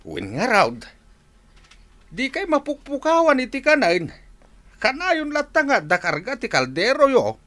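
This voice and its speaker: An old father in a comic radio play, teasing and easily amazed. His delivery is hugely animated, swinging up and down as he exclaims. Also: very high-pitched, unhurried, exaggerated voice. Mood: curious, sheepish. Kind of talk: comedic radio drama acting.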